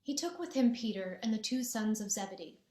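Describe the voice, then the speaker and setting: A woman narrating a gospel passage in a church dramatic reading, steady and clear. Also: medium pitch, a little fast. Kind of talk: scripture narration. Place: church sanctuary. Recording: echoey church room.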